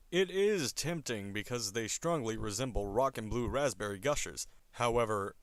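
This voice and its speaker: Theatrical male narrator, slightly low voice. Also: a bit bright. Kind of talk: dramatic scripted narration.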